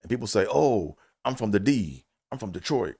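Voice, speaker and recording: A man's voice: on the low side, very animated, normal speed, vocal fry, darkish tone, breathy edge, no room sound, clean studio sound. A middle-aged man from Maryland, a comedian and engineer hosting his own livestream, talking loosely with lots of fillers and "you know what I'm saying.". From Maryland, USA. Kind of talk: casual solo livestream monologue.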